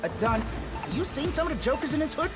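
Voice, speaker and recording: Game brawler, teenage turtle hothead: gruff, sarcastic, lively swings, music underneath. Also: male voice, extremely high pitch, fast talker.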